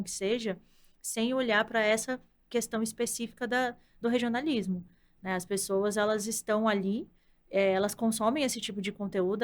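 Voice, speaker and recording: A Brazilian woman in her thirties, a content director, explaining her views in a thoughtful, conversational way. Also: medium pitch. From Brazil, from the countryside. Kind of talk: casual interview conversation.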